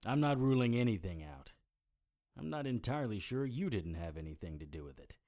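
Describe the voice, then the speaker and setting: An actor playing a wry, hard-boiled supernatural investigator in an audio drama, with deadpan, sardonic noir delivery. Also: male voice, mid-range voice, a little fast. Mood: teasing, dry.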